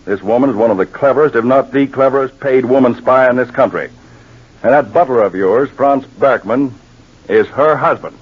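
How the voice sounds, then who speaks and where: An actor playing a firm, methodical counterespionage agent, grave and deliberate, in 1940s radio drama. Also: male voice, mid-range voice, breathy edge, lightly acted. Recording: dull 1940s radio recording.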